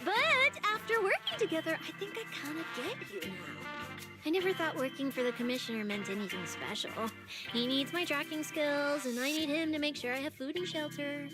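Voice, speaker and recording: A woman playing a brash, boastful young public security officer in a video game, cocky, excitable and chatty. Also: sits high. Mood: candid.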